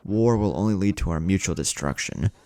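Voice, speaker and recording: A man reads as an earnest young ninja in an anime-style audiobook. He is polite and serious, in a low voice with a slight rasp of creak. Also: lively intonation, brisk, mellow, dry recording.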